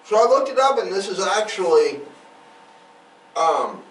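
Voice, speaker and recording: A man hosts a casual podcast in a rambling, explanatory style. His mid-pitched voice moves up and down a lot. It is picked up from a little distance in an echoey room. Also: very animated, a little slow. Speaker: podcast host. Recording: roomy echo, distant mic, faint noise.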